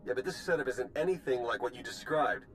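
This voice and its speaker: A man's voice: mid-range voice, quick pace, faint music behind, close to an everyday voice, rough recording. A man playing a blunt, determined and commanding soldier hero in a video game cutscene. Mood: wry, wary.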